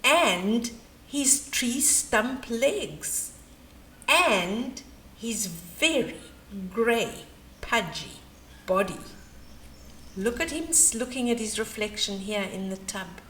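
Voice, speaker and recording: Slow, high-voiced older woman storyteller. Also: lively intonation, a bit bright. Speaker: storyteller reading a picture book to children. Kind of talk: expressive bedtime storytelling with asides. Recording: roomy echo, faint background noise.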